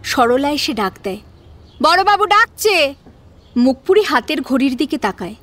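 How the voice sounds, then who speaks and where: High-pitched female story narrator. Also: lively intonation, fairly quick, faint music behind. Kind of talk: scripted dramatic story narration.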